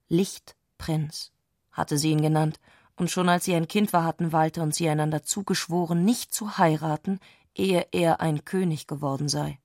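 A woman's voice: on the low side, a little mellow, dry recording, studio quality. A woman reading scripted literary prose as a narrator in a radio adaptation.

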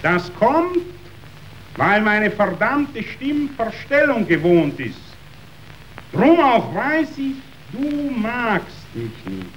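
An actor playing a ruthless yet sentimental meat magnate in a verse radio drama, declamatory, wheedling and self-pitying. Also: male voice, sits high, very animated, drawn-out words, fairly bright tone, full character voice. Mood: pleading, self-justifying. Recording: dull radio recording, faint noise.

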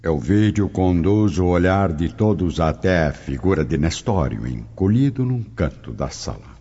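A man's voice: deep voice, lively intonation, measured pace, slightly rough. A man narrating a scripted audio drama in a dramatic storytelling style. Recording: dull lo-fi, loud music behind. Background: loud music.

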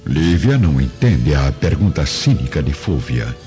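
A man's voice: very deep voice, sing-song, a little slow, dark tone. A man narrating a radio drama in a dramatic, scripted storytelling style. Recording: muffled recording with music bed.

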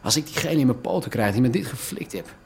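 An actor playing the sullen grown son of a crime boss in a radio drama, hesitant and defensive. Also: male voice, mid-range voice, expressive, quick pace, vocal fry. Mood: defensive, upset. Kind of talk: naturalistic radio drama acting.

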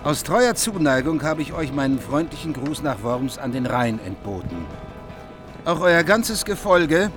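A man's voice: a little high, big pitch swings, unhurried, music in the background. A man acting a gracious, dignified king in a German radio play. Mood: formal welcome. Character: courtly king, gracious, dignified host to guests.

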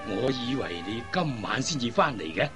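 Old dad in a radio play, sighing n grumbly, up and down pitch, faint music behind. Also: male voice, very animated, moderate pace. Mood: mild reproach. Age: older. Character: ageing father of grown daughters, mildly grumbling. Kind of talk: Cantonese radio drama acting.

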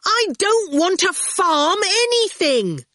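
A woman's voice: sits high, moderate pace, a bit bright, no room sound, studio quality, pitched above the performer's own voice. A woman voicing an earnest, anxious boy who calls out urgently in a children's story. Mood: exasperated. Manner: urgent calling out.

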